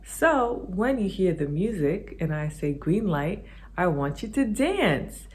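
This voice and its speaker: A woman's voice: on the low side, very animated, husky. A woman hosting a children's video, reading a rhyming picture book aloud and leading kids in games. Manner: slow rhythmic rhyming read-aloud; bright sing-song teacher voice for kids. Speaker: children's show host.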